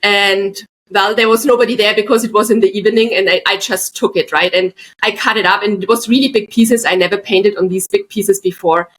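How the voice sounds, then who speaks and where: A woman's voice: mid-range voice, a bit bright, poor audio. Middle-aged woman, podcast guest, chatty anecdote teller. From Germany, Bavaria. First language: German. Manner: chatty, tells anecdotes with frequent asides. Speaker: guest, artist. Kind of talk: casual podcast chat, storytelling.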